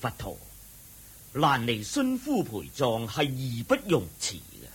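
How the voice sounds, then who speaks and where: A man's voice: medium pitch, huge pitch swings, takes it slowly, breathy edge, exaggerated voice. A man playing a stern clan chief in a Cantonese radio drama, formal and pompous, with a Hong Kong accent. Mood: insistent.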